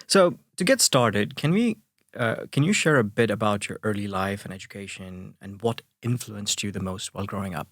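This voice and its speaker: A man's voice: on the low side, expressive, normal speed, no room sound, clean studio sound. A man hosting a podcast, reading a guest introduction and asking interview questions. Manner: reads guest intro, short backchannels. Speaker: podcast host.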